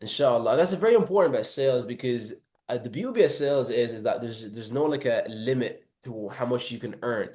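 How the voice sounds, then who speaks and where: A man's voice: mid-range voice, animated, brisk, few pauses, a little mellow. A man hosting an interview, asking encouraging questions and sprinkling in religious phrases. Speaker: interviewer, host. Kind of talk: casual interview.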